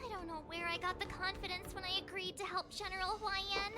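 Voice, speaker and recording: An eager, anxious teenage girl in an anime-style game. The voice is extremely high and girlish, with expressive delivery over clear background music. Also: fast talker. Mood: nervous pleading. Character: nervous, eager teenage girl, novice swordfighter.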